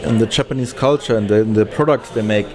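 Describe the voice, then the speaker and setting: A man speaking casually as an interviewed film director, halting and often searching for words. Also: lowish voice, a crowd in the background.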